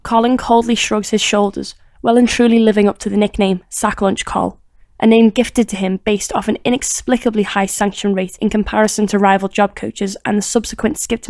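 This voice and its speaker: A woman's voice: mid-range voice, little pitch movement, normal speed, a little mellow, no room sound, studio quality. A woman reading scripted narration for a crime audio drama.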